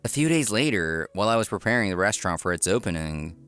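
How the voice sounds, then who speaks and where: A man's voice: fairly low pitch, expressive, fast talker, fairly bright tone, clear, resonant tone, faint music behind. A man reading scripted first-person narration for a manga story. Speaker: narrator and lead character.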